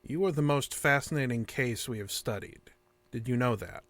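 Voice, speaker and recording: An actor playing a calm alien interrogator in an audio drama, speaking in formal, clinical, repetitive phrases. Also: male voice, mid-range voice, moderate pace, no room sound, lightly acted. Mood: clinical, insistent.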